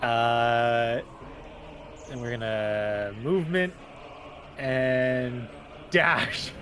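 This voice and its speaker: Very slow-spoken man at a game table. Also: medium pitch, fairly bright tone. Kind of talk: casual game chat. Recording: clear background noise, ambience.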